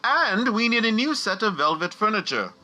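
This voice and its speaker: A wealthy, self-important father in a radio comedy. His voice is very sharp and slightly high, rising and falling theatrically. He sounds pompous and greedy, with music behind him. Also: big pitch swings, normal speed, heavily acted. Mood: outraged. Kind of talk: comic radio play acting.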